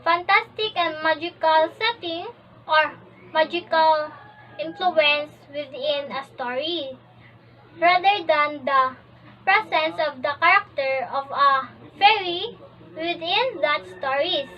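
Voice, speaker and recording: A woman student presenter reads a scripted lesson haltingly, phrase by phrase, on a dull, distant recording. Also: high voice. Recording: dull lo-fi, off-mic sound.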